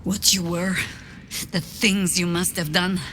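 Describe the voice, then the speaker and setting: A principled, loyal woman warrior from a fantasy game. Her voice is on the low side, earnest and measured, with faint ambience behind it. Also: little pitch movement, a bit bright, slight background noise. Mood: earnest resolve. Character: resolute, principled woman warrior companion, guarded but loyal. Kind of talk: game dialogue acting.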